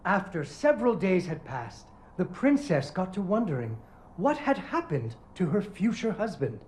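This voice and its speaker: An actor playing a playful father in a video game, telling his daughter a fairy tale in an expressive storyteller's delivery. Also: high-pitched, moderate pace, slight background noise, rough recording. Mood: playful storytelling. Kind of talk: animated bedtime-story narration in a video game. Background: guitar music.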